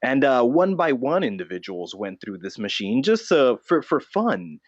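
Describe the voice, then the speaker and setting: A man voicing an earnest, self-serious vigilante notebook disguised as a bat, speaking in solemn, halting, grandiose fashion in an improvised comedy interview. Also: mid-range voice, sing-song. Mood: grave recounting. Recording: dull, roomy lo-fi sound.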